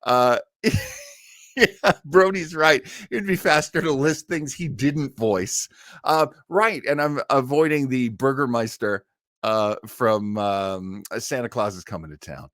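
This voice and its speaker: A man's voice: mid-range voice, takes it slowly, fairly bright tone, dry recording, studio quality. A middle-aged or older man hosting a live online listening party, chatting casually and enthusiastically like a devoted fan. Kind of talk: casual live chat introducing a radio cast.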